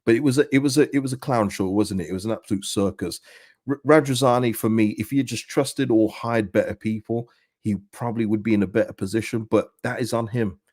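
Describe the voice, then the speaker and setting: Chatty husky man, low voice. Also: fairly even intonation, quick pace, a little mellow, no echo, clean studio sound. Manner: chatty, rambling, with frequent restarts and laughs. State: slightly husky voice. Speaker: football channel host. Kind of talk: casual fan commentary to camera.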